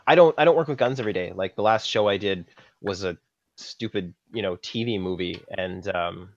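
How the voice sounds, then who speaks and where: Canadian male podcast guest, lowish register, conversational, lo-fi recording. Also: brisk. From Saskatchewan, Canada. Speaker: guest, film props master. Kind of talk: casual podcast interview, recounting career. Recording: dull lo-fi audio, slightly roomy.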